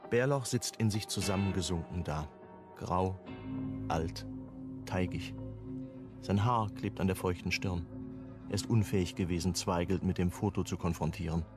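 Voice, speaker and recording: A man's voice: deep voice, normal speed, long pauses, music in the background, natural voice. A man narrating a scripted radio crime drama.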